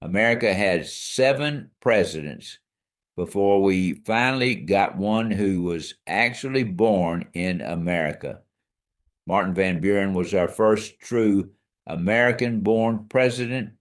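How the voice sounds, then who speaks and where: A man co-hosting a scripted history podcast, explaining facts in an emphatic, storytelling way. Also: deep voice. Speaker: co-host.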